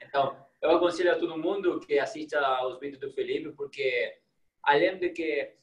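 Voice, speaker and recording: A young man from Argentina with an Argentine Spanish accent, a guest in a casual interview over a video call, talking warmly and enthusiastically, sometimes pausing to search for words. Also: fairly high pitch, echoey room, low-quality recording. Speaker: guest, psychologist. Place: small indoor room.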